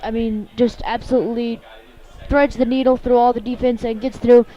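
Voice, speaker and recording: Muffled, monotone American male color commentator. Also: extremely high pitch, normal speed. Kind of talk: off-the-cuff sports analysis. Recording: dull lo-fi outdoor broadcast sound.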